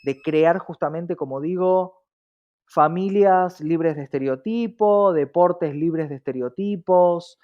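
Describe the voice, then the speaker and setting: Higher-pitched Argentine man, earnest interview guest. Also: slow pace, phone-quality sound. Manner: earnest, often checks with a tag question. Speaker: interview guest, diversity activist. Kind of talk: reflective interview talk.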